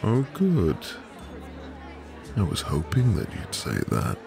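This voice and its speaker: A man playing a tender, devoted newlywed husband in a soft, intimate, romantic ASMR roleplay with lingering pauses. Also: deep voice, huge pitch swings, vocal fry, a crowd in the background, exaggerated voice. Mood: tender, happy. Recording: music behind the voice. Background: music.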